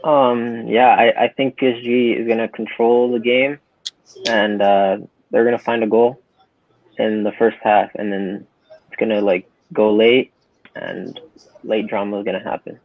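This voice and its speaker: A man answering as a guest on a football stream, hesitant and laid-back, in short halting phrases. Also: fairly low pitch, level tone, no room sound, narrow, tinny audio.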